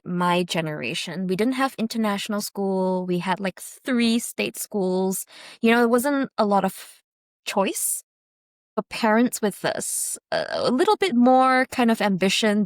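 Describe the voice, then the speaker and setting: A woman's voice: medium pitch, dry recording, clean studio sound. A woman from Malaysia, a podcast guest, telling her life story in a chatty, casual way and laughing easily. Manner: chatty, laughs easily, frequent fillers and restarts.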